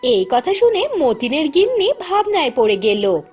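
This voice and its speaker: A woman narrating a children's cartoon story in a gentle, slow storytelling manner. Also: high-pitched, sing-song, soft background music.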